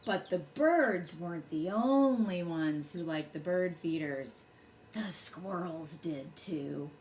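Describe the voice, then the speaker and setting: A woman reads a bedtime story aloud to children with expressive, dramatic phrasing. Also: lowish voice, slow. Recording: roomy echo, faint background noise.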